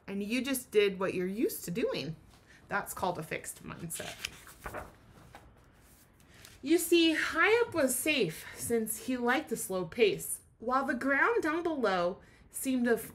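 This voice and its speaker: A woman with a fairly high voice leads a read-aloud lesson for children. She speaks as a teacher, with expression, and puts ideas into simple words for young listeners. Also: a bit bright.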